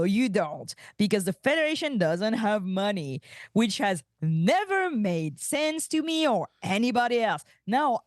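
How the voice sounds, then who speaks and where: A woman voicing a haughty, mercenary alien aunt with a cutting, flirtatious manner in an animated sci-fi comedy table read. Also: mid-range voice, dry recording, clean studio sound, natural voice. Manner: sardonic, cutting.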